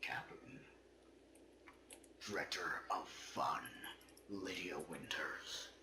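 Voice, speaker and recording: A man giving a comedic dramatic reading in an over-the-top theatrical manner, his voice a little hoarse. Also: sits low, takes it slowly, bright, forceful tone, whispered. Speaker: reader.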